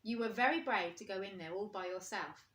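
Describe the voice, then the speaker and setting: A woman's voice: medium pitch, brisk, fairly bright tone, natural voice. A woman voicing a kindly, patient old male teddy bear who leads the other toys in a children's storybook. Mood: kindly, encouraging. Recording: roomy, echoing indoor sound.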